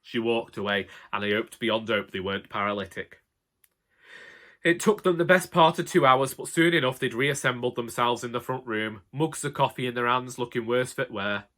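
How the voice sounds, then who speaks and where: A man with a Northern English accent tells a comic first-person story in a bright, forward voice. He plays a put-upon older husband, chatty and colloquial, with wry exasperation. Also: mid-range voice, moderate pace. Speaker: audiobook narrator.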